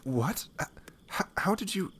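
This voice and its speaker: A man's voice: sits high, lively intonation, a little slow, a bit bright, slight background noise, voice pushed up. A man playing a devoted, protective young knight in love, tender and hesitant, in a romantic fantasy ASMR roleplay. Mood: flustered.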